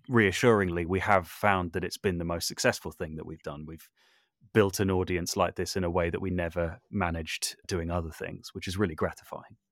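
A man's voice: low voice, fairly bright tone, dry recording, studio quality. A man talking casually as an interview guest, thinking aloud and often rephrasing as he goes. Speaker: podcast guest, composer, sound designer and voice artist.

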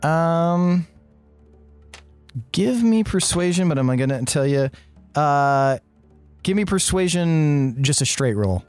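A man's voice: fairly high pitch, lively intonation, slow pace, long pauses, darkish tone, no room sound. Casual male game master over faint music. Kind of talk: casual tabletop game narration.

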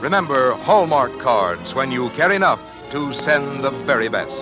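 A man's voice: medium pitch, very animated, moderate pace, over music, poor audio. An American man announcing and reading sponsor copy in 1940s radio style on a vintage broadcast.

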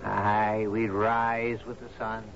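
A man's voice: on the low side, level tone, slow pace. An actor in a radio drama playing a loyal, agreeable young man, deferential and hearty toward his captain. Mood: loyal, reminiscing. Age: 20s. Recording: dull, noisy 1970s radio recording.

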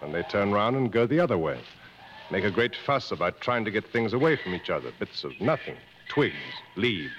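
A man's voice: on the low side, big pitch swings, moderate pace, fairly bright tone. An actor playing a seasoned, world-weary male jungle guide in a radio drama, speaking in a knowing, didactic, dryly fatalistic way. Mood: weary, philosophical. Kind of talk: mid-century American radio drama acting. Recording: dull vintage radio recording, faint noise.

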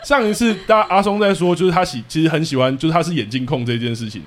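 A Taiwanese man with a somewhat high-pitched voice co-hosts a casual chat. He talks very quickly, with an animated, jokey, self-deprecating manner. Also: a little hiss or hum. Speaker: podcast co-host.

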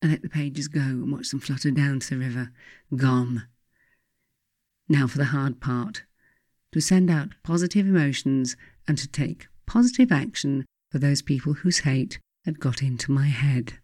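A woman's voice: rumbling low, moderate pace, no room sound. English woman narrating, close and dry. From England. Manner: confiding, expressive storytelling with emphatic asides. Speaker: author reading her own book. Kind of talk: scripted first-person audiobook narration.

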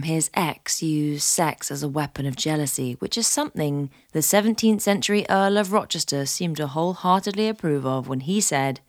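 A woman hosting a podcast, reading scripted narration and poetry in a measured, literary way. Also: sits low, darkish tone, dry recording, studio quality. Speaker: podcast host.

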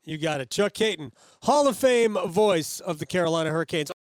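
A man's voice: on the high side, expressive, fairly quick, noisy background. A man hosting a conversational sports radio interview, speaking into a close studio microphone.

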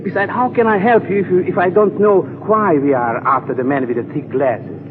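An actor playing a greedy, wheedling double-crossing spy in a 1940s radio drama. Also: male voice, high-pitched, a little fast, close to an everyday voice. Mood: sly, curious. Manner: wheedling, haggling. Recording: noisy old radio recording, phone-like.

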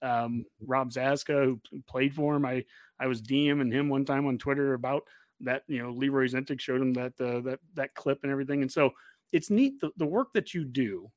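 A man's voice: medium pitch, fairly quick, a little mellow, no room sound. Enthusiastic man hosting a casual sports podcast. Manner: enthusiastic, effusive. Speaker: podcast host.

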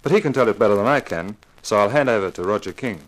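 A man's voice: lowish voice, lively intonation, quick pace, lightly acted, low-quality recording. An actor playing a mystery author who introduces his story in a polished, scripted 1950s radio style. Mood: genial introduction. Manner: polished, presentational.

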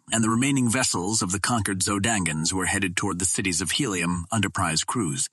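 A man narrates an old-fashioned adventure tale in a formal, measured way. His voice sits on the low side and is noticeably husky. The sound is dry, with very little room around it. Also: fairly bright tone, dry recording. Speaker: audiobook narrator. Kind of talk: scripted narration of a vintage adventure novel.

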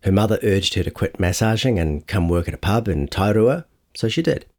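A man's voice: lowish voice, lively intonation, a little fast, darkish tone. A man reading a scripted feature article aloud as narrator, acting out quotes as he goes. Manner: acts out quotes within narration. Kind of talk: scripted audio long-read narration.

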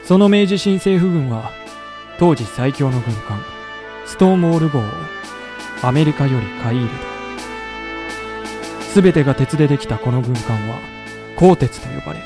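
An actor playing a samurai-era veteran who narrates a historical audio drama in a chatty, wry storytelling manner. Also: male voice, medium pitch, natural voice. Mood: grave, storytelling. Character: colloquial samurai-era veteran recounting his comrades' lost war. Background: background music.

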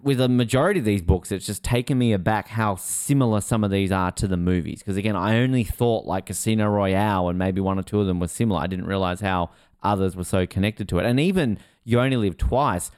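A man with a fairly low voice chats casually as a podcast co-host. The sound is dry and close, and his way of talking is informal and loose. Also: fast, a little mellow, smooth, no echo. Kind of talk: casual chat.

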